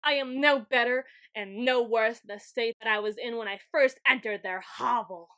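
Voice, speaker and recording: A woman's voice: a little high, normal speed, pushed and bright. A woman playing a grandiose, weakened overlord of shadows in an ASMR roleplay, with pompous, flowery, melodramatic delivery. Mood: pompous lament. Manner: pompous, archaic flowery phrasing. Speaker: ASMR roleplay audio performer. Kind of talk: ASMR roleplay, theatrical scripted monologue.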